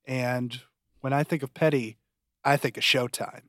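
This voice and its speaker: Dude co-hosting a tv podcast, relaxed chat format, average pitch, dry audio. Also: male voice, unhurried, no room sound. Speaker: podcast co-host. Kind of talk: casual TV review podcast chat.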